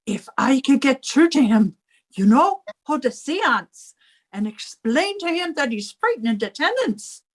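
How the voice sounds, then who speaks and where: An older Irish widow who runs a boarding house in a vintage radio comedy. She is combative and melodramatic, with a very high, slow delivery that swings widely from bossy orders to wails. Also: very animated, low-quality recording. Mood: bossy, insistent. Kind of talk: 1950s radio comedy acting.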